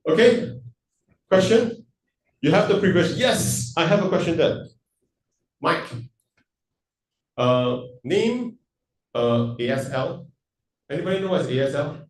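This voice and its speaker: A man's voice: a little high, big pitch swings, unhurried, long pauses, echoey room, far from the mic, low-quality recording. A man hosting a question-and-answer session at a live event, chatty and joking, switching between languages. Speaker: event host. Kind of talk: casual Q&A hosting with jokes. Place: event hall.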